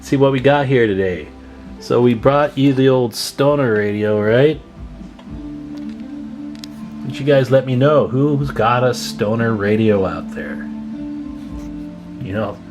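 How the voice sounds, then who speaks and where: A man giving a casual, hands-on demonstration as a hobbyist video host, speaking informally and folksily to his viewers. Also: mid-range voice. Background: plucked guitar music.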